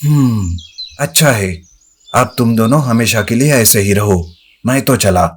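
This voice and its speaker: A man voicing a wise turtle in an animated moral story, lecturing and admonishing. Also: fairly low pitch, unhurried, slightly rough. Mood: stern. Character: wise, scolding male turtle of a magic pond.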